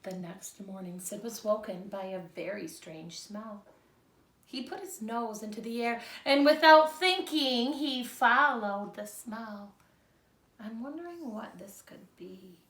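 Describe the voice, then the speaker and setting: Female primary teacher, highish bright voice, animated read-aloud style with asides to pupils; distant mic in an echoey room. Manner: slow, animated storybook delivery with teaching asides. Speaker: second grade teacher. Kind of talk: expressive read-aloud lesson for young children. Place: indoors at home. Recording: roomy echo, distant microphone.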